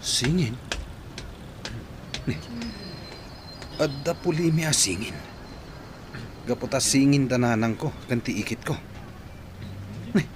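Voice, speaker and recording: A man's voice: mid-range voice, takes it slowly, pauses often, background noise, lightly acted. A man with an Ilocano Filipino accent playing a persistent, questioning man in a radio drama. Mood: insistent. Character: persistent, questioning man confronting an old acquaintance.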